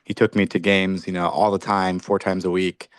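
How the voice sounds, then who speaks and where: A man's voice: low voice, level tone, moderate pace, smooth, dry recording, clean studio sound. A man who grew up in Belgium, speaking as an author guest in a podcast interview, reflective and full of restarts.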